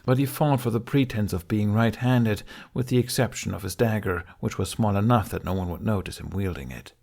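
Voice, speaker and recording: A man's voice: on the low side, fairly quick, vocal fry, darkish tone. A man reading a fantasy adventure story aloud as a scripted audiobook narration.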